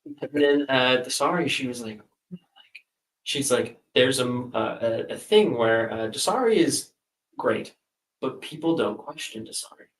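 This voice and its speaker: A man's voice: mid-range voice, a little slow, distant mic. Guy chatting loose, lots of ums and restarts, roomy echo, kinda off mic. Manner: rambling with many fillers and restarts. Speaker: author. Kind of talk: casual chat.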